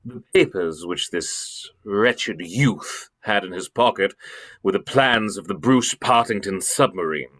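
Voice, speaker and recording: An actor playing a pompous, imperious elder-brother government official in a radio drama, with emphatic theatrical delivery. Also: male voice, on the low side, expressive, unhurried, fairly bright tone. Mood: grave, indignant.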